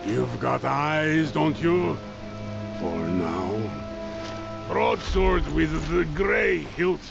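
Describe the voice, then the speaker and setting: Nasty swaggering mercenary for a game, super slow drawl, pitch all over the place. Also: male voice, sing-song, gravelly, heavily acted. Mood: threatening. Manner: taunting, theatrical, foul-mouthed. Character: menacing, swaggering bullying sellsword, quick to threaten. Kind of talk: video game character acting. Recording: dull audio under loud music.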